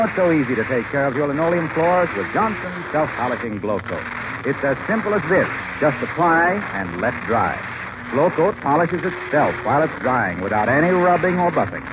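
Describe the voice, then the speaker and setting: Male 1940s announcer, persuasive, fairly high lively voice, noisy old recording. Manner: polished, persuasive. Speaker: radio announcer. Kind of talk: 1940s scripted sponsor announcement. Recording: muffled, very noisy vintage radio recording.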